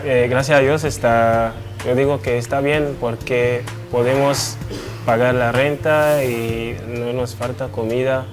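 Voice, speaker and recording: A man's voice: medium pitch, music in the background. Hesitant man speaking. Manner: hesitant, calm, with pauses and fillers. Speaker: interviewee. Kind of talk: interview answers.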